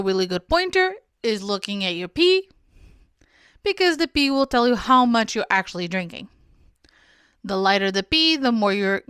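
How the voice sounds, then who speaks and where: Portuguese-born woman giving smooth nutrition advice. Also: fairly high pitch, a bit bright, dry recording, studio quality. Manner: friendly, instructive, occasional self-corrections. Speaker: podcast host. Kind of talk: solo podcast advice talk.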